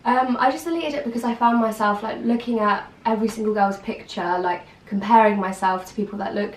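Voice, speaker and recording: A woman's voice: mid-range voice, brisk, far from the mic. A twenty-one-year-old woman, a student, talking hesitantly in a documentary interview with many "like" fillers. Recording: echoey room, voice from off-mic.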